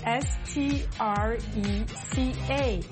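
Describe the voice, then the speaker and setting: A woman presenting an English lesson segment, speaking slowly and carefully. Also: on the high side, music in the background. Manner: slow, careful, clear delivery. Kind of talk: scripted language lesson.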